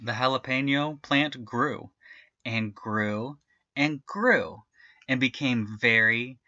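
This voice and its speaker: Bright-voiced man reading a storybook. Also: medium pitch, slow, dry recording, lo-fi sound. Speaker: storybook reader. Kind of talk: animated children's read-aloud narration.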